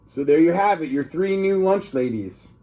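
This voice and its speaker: A man reading a storybook aloud to children in an expressive, teacherly way, with asides to his young listeners. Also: fairly high pitch, low-quality recording. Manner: slow, deliberate, teacherly.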